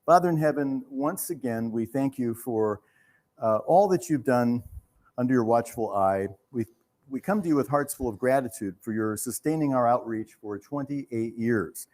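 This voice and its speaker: A man with a mid-pitched voice hosts a live event. He speaks in an earnest, reverent manner, as in a welcome address or a public prayer. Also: normal speed, a little mellow. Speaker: theater host leading welcome and prayer.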